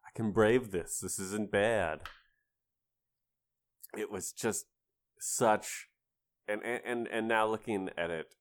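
A man with a low voice talks casually as a podcast co-host. He is playful and enthusiastic in his gamer chat, speaking in an informal conversational style. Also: slow, long pauses. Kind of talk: casual chat about a video game.

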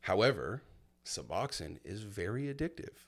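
Deep-voiced man in his thirties. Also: very animated, moderate pace. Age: 30s. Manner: candid, reflective storytelling with many fillers. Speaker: guest sharing his testimony. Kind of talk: casual personal conversation.

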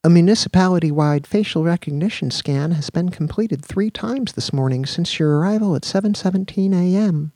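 Man playing a calm robotic computer, monotone, mechanical, raised pitch, dry sound. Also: moderate pace, few pauses, no echo. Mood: flat. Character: bland, flat-toned male office computer.

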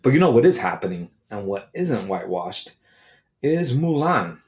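A man's voice: mid-range voice, big pitch swings, normal speed, a little mellow. A man ranting casually on his own, crude and sarcastic, swearing freely and going off on tangents. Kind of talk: casual solo rant.

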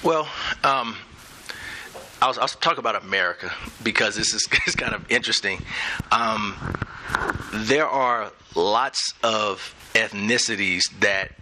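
A middle-aged man speaking as a guest in a live onstage conversation, measured and thoughtful with light laughs. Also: fairly low pitch, noisy background. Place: event center stage.

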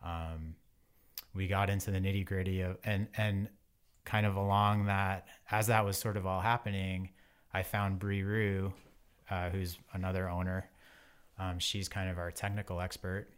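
A man's voice: low voice, flat delivery, moderate pace, clear, resonant tone. A man in his forties talking casually as an interview guest, in an informal, rambling way. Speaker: podcast guest, bike wheel company owner.

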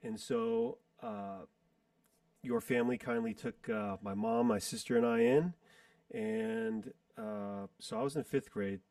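A man in his forties, a musician guest in a casual interview, reminiscing in a rambling way with pauses to recall details. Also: fairly low pitch, a little mellow, no echo. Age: 40s. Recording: distant dry mic.

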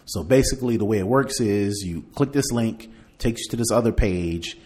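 A man's voice: fairly low pitch, normal speed, a little mellow. A man hosting a podcast, chatting casually through tech news and partly reading aloud, in a conversational way. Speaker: podcast host.

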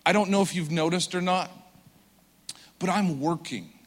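Earnest preacher man in a reverberant hall. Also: high voice. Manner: earnest, swells loud for emphasis then drops soft and slow. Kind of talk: sermon.

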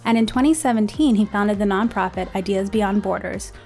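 A woman's voice: mid-range voice, fairly quick, darkish tone, faint music behind. A woman hosting a podcast, reading a scripted introduction and asking curious, conversational questions. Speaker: podcast host.

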